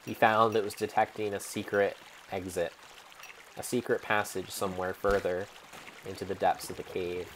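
A young man with a low voice gives a dramatic reading of a video game. He speaks theatrically and deliberately, pausing between phrases like a storybook narrator. There is light noise behind him. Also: flat delivery, a bit bright. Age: young adult. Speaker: game streamer.

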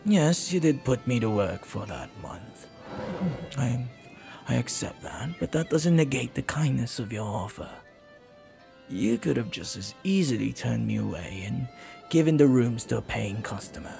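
A man playing a flirty, silver-tongued pirate in a romantic audio roleplay, tender and teasing, sometimes dropping to a breathy murmur. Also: medium pitch, animated, unhurried, mellow, soft background music. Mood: fond, teasing. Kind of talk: romantic audio roleplay acting, intimate second-person monologue.

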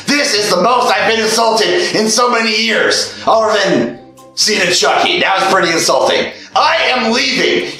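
Man as a tiny killer doll, high-pitched and sharp, shouting indignantly; echoey room, faint music. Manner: indignant, shouting, easily insulted. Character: tiny foul-mouthed male killer doll, hot-tempered.

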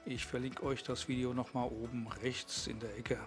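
A man's voice: mid-range voice, little pitch movement, normal speed. Older man presenting, music behind. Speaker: vlogger. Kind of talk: vlog presenting and narration.

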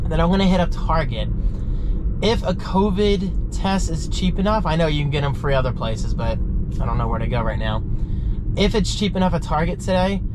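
A man in his thirties chatting casually to camera from the driver's seat, stuffy and a little under the weather, rambling with animated gestures. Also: on the high side. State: stuffy, a bit sick. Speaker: vlogger host. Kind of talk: casual vlog chat. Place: inside a car. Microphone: dashboard camera mic. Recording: car road noise behind.

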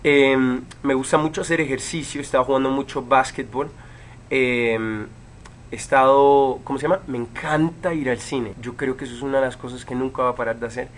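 Young man, from Chile, relaxed webcam chat, lots of fillers, mid-pitched voice, faint room noise. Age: 20s. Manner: relaxed, many fillers and pauses. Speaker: actor answering fan questions. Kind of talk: casual livestream chat. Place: home room with bookshelves, indoors.

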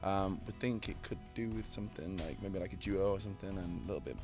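A man with a Yorkshire English accent, a singer in his thirties, rambles and cracks jokes. His voice sits a little low. Music is plainly heard behind him. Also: quick pace. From Yorkshire, England. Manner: joking, playful, rambling. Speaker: country singer. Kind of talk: casual interview chat. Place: festival backstage area. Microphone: handheld interviewer mic.

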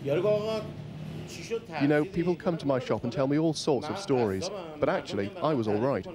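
A man's voice: on the high side, expressive, a little slow, over a noisy crowd. A man speaking as a butcher in plain, matter-of-fact interview answers.

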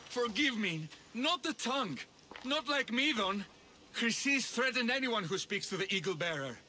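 An actor playing a cheeky, excitable priest of an ancient Greek bathhouse in a video game. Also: male voice, very high-pitched, moderate pace, heavily acted. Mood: panicked. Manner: sarcastic, excitable, theatrical. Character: cheeky, panicky male sanctuary bathhouse priest in ancient Greece. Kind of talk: video game character acting.

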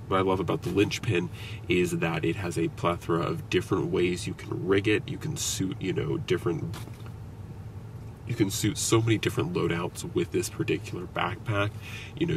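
A young man talking casually about his outdoor gear from inside a parked car, with relaxed, conversational speech and plenty of fillers. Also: low voice, creaky. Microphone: camera on the dashboard. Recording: somewhat distant mic, noticeable background hiss.

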